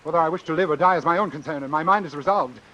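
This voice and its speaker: An actor playing a cold, calculating doctor in a vintage suspense radio drama, speaking in a formal, precise, measured way. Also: male voice, a little high, pushed and bright, muffled recording. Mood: insistent. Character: cold, calculating male doctor obsessed with his experiment. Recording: hissy old radio recording.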